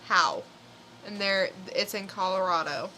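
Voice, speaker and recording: A woman's voice: mid-range voice, unhurried, pushed and bright, slight background noise, poor audio. A woman co-hosting a podcast, chatting casually as she shares stories. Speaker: podcast co-host. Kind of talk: casual chat, telling news stories.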